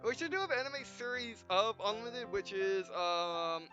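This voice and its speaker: A man's voice: sits high, expressive, normal speed, pushed and bright, muffled recording, faint music behind. A man hosting a casual gameplay video, rambling and often stumbling over his words and correcting himself. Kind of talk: casual let's-play commentary.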